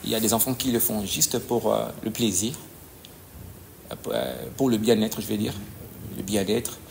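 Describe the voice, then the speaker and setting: A man, a judo coach answering interview questions in a halting way, often pausing to search for words. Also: on the low side, fairly even intonation, creaky, a little mellow, slight background noise. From DR Congo.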